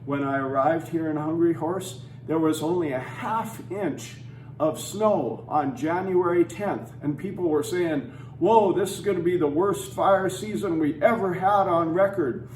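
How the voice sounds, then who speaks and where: A man's voice: fairly high pitch, measured pace, darkish tone. A man delivering a scripted campaign speech, preachy and emphatic with religious conviction. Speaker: anti-legalization campaigner. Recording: roomy and distant with faint noise.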